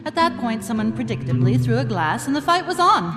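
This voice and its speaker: Droll comic-fantasy narrator, lively swinging intonation over music, live stage radio play. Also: female voice, a little high, a little fast. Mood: wry. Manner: wry, droll. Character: wry female storyteller narrating a comic fantasy. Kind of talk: scripted narration in live audio theatre. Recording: live hall, music underneath.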